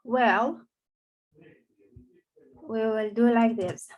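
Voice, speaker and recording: A woman's voice: fairly high pitch, steady tone, extremely slow, lo-fi sound. A woman with a Romanian accent, a native Romanian speaker, teaching a grammar lesson in English, explaining step by step and thinking aloud with fillers. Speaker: language teacher.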